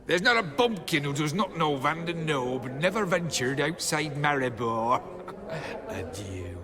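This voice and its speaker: An actor playing a haughty, mocking gentleman connoisseur in a video game, speaking in a pompous, florid, condescending way. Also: fairly high pitch, animated, unhurried, a crowd in the background. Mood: scornful. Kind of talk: video game cutscene acting.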